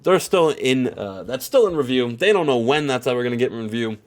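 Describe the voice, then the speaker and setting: A man's voice: mid-range voice, expressive, brisk. A man with a stuffed-up nose giving casual, rambling commentary on a wrestling game, full of filler phrases. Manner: rambling, heavy on "you know" and "at the end of the day".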